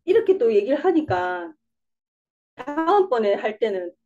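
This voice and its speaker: A woman's voice: fairly high pitch, sing-song, unhurried, lo-fi sound. A young woman with a Busan dialect, answering interview questions casually, rambling a little and laughing often. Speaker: interview guest, coaching student.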